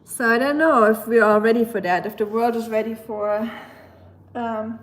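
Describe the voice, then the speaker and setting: Woman, German accent, young adult, livestream host, casual playful chat, slightly high voice. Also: steady tone, normal speed. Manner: chatty, laughs often, playful asides. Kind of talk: casual chat reading viewer messages.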